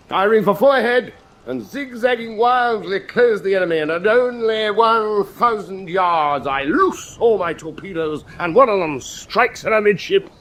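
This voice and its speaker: An actor playing a pompous, boastful middle-aged British naval commander in a radio drama, with theatrical, self-satisfied storytelling. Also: male voice, sits high, fairly bright tone. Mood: dramatic storytelling. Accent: British English.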